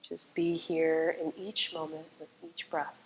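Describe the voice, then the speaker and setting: A woman guides a meditation in a low, calm voice. Her delivery is slow and soothing, with little rise or fall in pitch. She leaves long pauses between phrases, as a yoga nidra teacher does. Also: slight background noise, low-quality recording.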